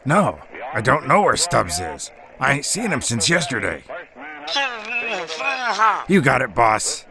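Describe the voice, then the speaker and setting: A man playing a shifty, nervy 1940s gangster henchman in a noir audio drama, talking slangy underworld talk in a gravelly voice. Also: mid-range voice, sing-song, slow pace, a crowd in the background. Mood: nervy, deferential. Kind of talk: noir radio drama phone conversation.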